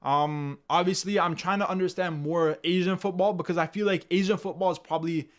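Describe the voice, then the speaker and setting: A young man from Canada chatting through a live football stream. His voice sits a little high, and he talks in a loose, slangy way, circling back over the same phrases and tossing in "bro" constantly. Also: steady tone, brisk. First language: English. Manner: loose slangy talk, says "bro" a lot, repeats himself; bursts loud and high when goals go in. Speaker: watchalong streamer. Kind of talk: casual live commentary, reading chat.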